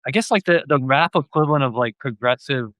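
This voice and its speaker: A man's voice: mid-range voice, a little fast, a bit bright, dry recording. A man hosting a podcast, chatting casually about music and often searching for words. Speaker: podcast host.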